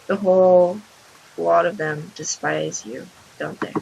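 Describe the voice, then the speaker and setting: A woman voicing a cruel, possessive mother from a visual novel in a quiet, taunting, smothering way. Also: on the low side, fairly even intonation, takes it slowly, vocal fry, muffled recording, a little hiss or hum, exaggerated voice. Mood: cruel, scornful. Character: cruel, possessive mother scolding and doting on her daughter.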